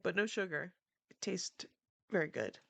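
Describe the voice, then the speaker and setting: A woman's voice: on the low side, animated, moderate pace, creaky, no echo. Congested, giggly podcast woman. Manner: giggly, chatty. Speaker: podcast co-host. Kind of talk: casual chat.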